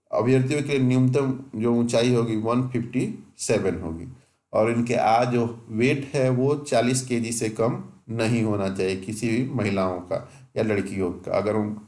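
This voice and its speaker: A man's voice: medium pitch, darkish tone, reverberant. Methodical man explaining exam rules. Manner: methodical, reads out rules point by point, mixes English terms; self-corrects with small restarts. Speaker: exam coaching teacher. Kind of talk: explaining a job notification.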